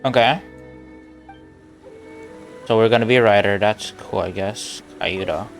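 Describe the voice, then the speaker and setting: A man's voice: on the low side, a little slow, vocal fry, faint music behind. A man giving casual livestream game commentary in brief, musing asides.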